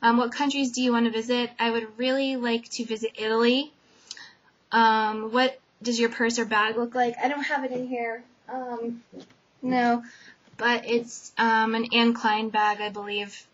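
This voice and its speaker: A young woman from Connecticut answering a tag video's questions in a casual chat, with lots of "um" fillers, her voice trailing off softly at sentence ends. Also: on the high side, steady tone, unhurried. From Connecticut, USA. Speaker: vlogger. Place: dim bedroom, indoors. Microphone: webcam. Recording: dull lo-fi webcam audio.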